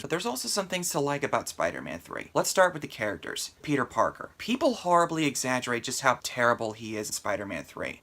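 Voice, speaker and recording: Bright-voiced, slightly congested male movie reviewer. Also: medium pitch. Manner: conversational, opinionated, emphatic. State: mildly congested, sore throat. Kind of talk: casual video review commentary.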